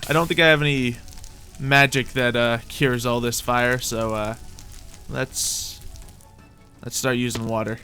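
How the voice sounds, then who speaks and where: A man with a bright, forceful voice narrates his own gameplay as a roleplay. He is theatrical and mock-boastful, often talking to himself and repeating phrases, over background music. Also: mid-range voice, fairly even intonation, unhurried. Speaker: gaming video host. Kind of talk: in-character gameplay commentary, roleplay.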